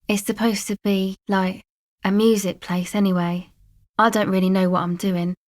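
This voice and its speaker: A woman's voice: mid-range voice, flat delivery, normal speed, natural voice. An actress playing a guarded, laid-back young streetwise woman in a video game, talking in a casual, slangy, dry way. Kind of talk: video game character dialogue, conversational.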